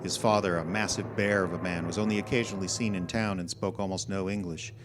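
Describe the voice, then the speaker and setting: A man's voice: deep voice, fairly even intonation, fast talker, background noise. A man reading a scripted story as a narrator, recorded live in a performance club. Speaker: reader of a ghost story.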